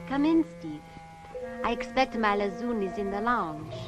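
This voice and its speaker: An actress playing a cool, affectionate, gently teasing spacewoman in a 1960s puppet series, with a languid, coaxing manner. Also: medium pitch, animated, a little slow, lightly acted. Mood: relaxed, teasing. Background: loud music.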